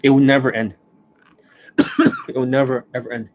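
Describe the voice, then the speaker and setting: A man hosting a live stream as a dating coach. He rants bluntly and swears often, and his voice is worn and hoarse from overuse, with coughs and throat clearing. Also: medium pitch, level tone, normal speed, phone-quality sound. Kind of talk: livestream monologue. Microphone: desk microphone.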